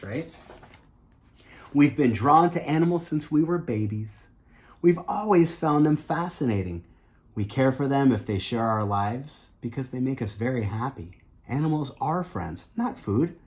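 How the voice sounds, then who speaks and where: Animated man reading to children. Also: medium pitch. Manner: earnest, emphatic, didactic. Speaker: teacher and host of a children's read-aloud show. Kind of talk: expressive picture-book reading with asides to young listeners.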